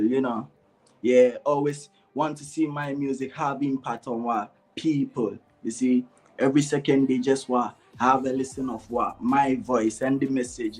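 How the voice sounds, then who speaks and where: A young man from Ghana with a Ghanaian accent mixed with Jamaican patois. He talks in a casual interview style, keeps asking himself "what?" and answering it, and says "you know" all through. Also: mid-range voice. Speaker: dancehall artist, guest. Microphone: remote video call.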